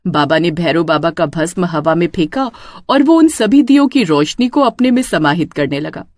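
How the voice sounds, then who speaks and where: Woman, storyteller, scripted dramatic narration, animated intonation, close dry recording. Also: lowish voice, dry recording.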